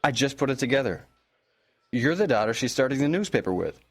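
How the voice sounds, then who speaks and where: An actor playing a polite, easygoing small-town police officer in an audio drama, informal and a little awkward, in natural conversational dialogue. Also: male voice, mid-range voice, big pitch swings, a little fast. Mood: businesslike.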